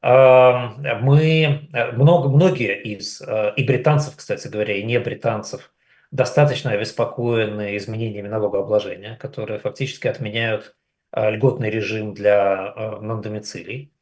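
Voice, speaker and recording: A man's voice: on the low side, fairly even intonation, moderate pace, darkish tone. Wry man giving conversational commentary. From Russia. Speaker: interview guest, commentator. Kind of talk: conversational analysis.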